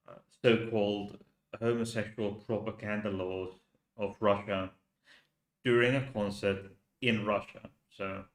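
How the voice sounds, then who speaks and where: A man from Finland with a Finnish accent, hosting a casual live stream in English and pausing often to restart his sentences. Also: lowish voice. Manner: hesitant, halting with many restarts. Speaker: channel host. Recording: roomy echo.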